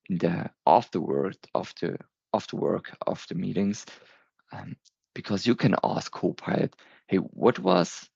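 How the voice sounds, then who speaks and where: A man's voice: deep voice, gravelly, a little mellow, husky, no echo, muffled recording. A man in his thirties with a German accent, giving a recorded talk, earnest and sometimes stumbling over words. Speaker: presenter.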